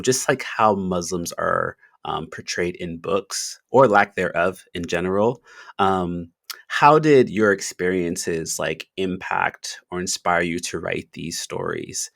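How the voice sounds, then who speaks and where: Brief: deep-voiced podcast host, gravelly, hesitant, thinking aloud, dry sound. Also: male voice, fairly bright tone, dry recording. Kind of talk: interview conversation.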